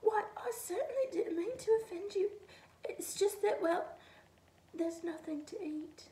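A woman voicing a small, timid mother rabbit who squeaks and pleads. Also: expressive, a little slow, warm tone, far from the mic. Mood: pleading. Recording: echoey room, faint ambience.

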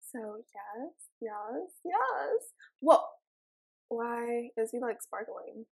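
A young woman with a slightly high, raspy voice gives relaxed let's-play commentary into a desk mic. She rambles, giggles and fills her pauses with ums. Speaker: gaming channel host.

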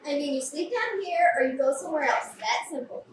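A woman's voice: sits high, lively intonation, brisk. A young woman playing an exasperated, bossy older sister in a staged play reading, scolding in a stern, clipped way. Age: young adult. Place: small café, indoors, live reading. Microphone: far camera microphone. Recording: echoey, distant room recording, faint noise.

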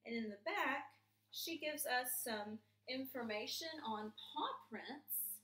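A young woman, an elementary school teacher, reading and talking to young children in a clear, deliberate way in a small room. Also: sits high, fairly bright tone, reverberant, low-quality recording. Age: young adult. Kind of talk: children's read-aloud and chat to pupils. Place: small plain room indoors. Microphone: camera mic a few feet away.

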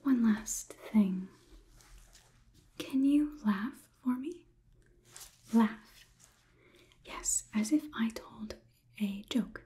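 A young woman whispering softly and deliberately in an ASMR roleplay, playing a curious, logical robot overlord who questions the listener clinically. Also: on the high side. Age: young adult. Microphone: close ASMR microphone.

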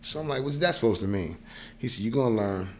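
A man's voice: mid-range voice, animated, fast, a little hiss or hum. A man in his forties with a New York accent, telling a personal story casually as a podcast guest, often checking in with the listener. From New York, United States. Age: 40s. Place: small indoor podcast studio. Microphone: close podcast mic on boom arm.

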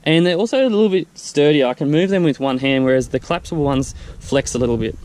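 A man casually walking through his vehicle camping setup, explaining in a relaxed, practical way with fillers. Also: a little high, fast. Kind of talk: casual walkthrough vlog. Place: outdoors beside a vehicle in a grassy field. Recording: faint wind noise outdoors.

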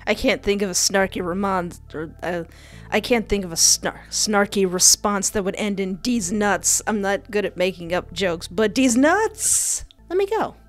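A woman's voice: medium pitch, animated, moderate pace. Playful young woman streaming a horror game. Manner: playful, cheeky, sassy. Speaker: streamer, narrator. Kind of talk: let's play, reading visual novel aloud with playful reactions. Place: indoors at a desk. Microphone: headset and close desk microphone. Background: faint game music.

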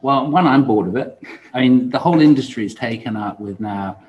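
A man's voice: mid-range voice, brisk, a little mellow, lo-fi sound, slight background noise. A man in his forties speaking casually as a podcast host in conversation. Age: 40s. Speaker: podcast host and law firm founder. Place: indoor room, seated.

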